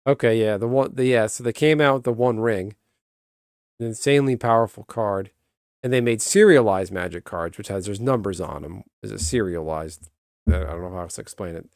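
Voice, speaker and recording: A man chatting casually on a stream, rambling and breaking off mid-sentence, answering his viewers in a calm, even voice. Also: on the low side, brisk, dry recording, clean studio sound. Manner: rambling, self-interrupting, chats with viewers. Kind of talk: casual live-stream chat.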